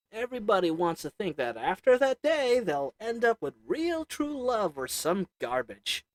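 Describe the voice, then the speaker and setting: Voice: mid-range voice, no echo. A performer voicing a sly, haggling goblin potion merchant who talks to the listener with wheedling, sarcastic salesman patter and little chuckles. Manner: chuckles between lines. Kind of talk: audio roleplay acting to the listener.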